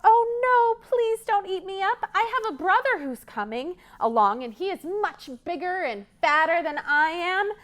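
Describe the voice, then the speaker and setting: A woman's voice: very high-pitched, very animated, a little slow, hardly any pauses, a bit bright, voice pushed up, full character voice. A woman voicing the smallest billy goat in a storybook, young, timid and pleading. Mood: frightened, pleading.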